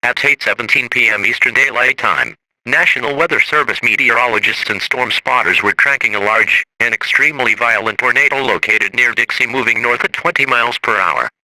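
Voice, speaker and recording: A man's voice: mid-range voice, fairly quick, sharp tone, no echo, low-quality recording. A man reading a scripted emergency weather bulletin in a formal, automated-sounding way. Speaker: emergency alert announcer.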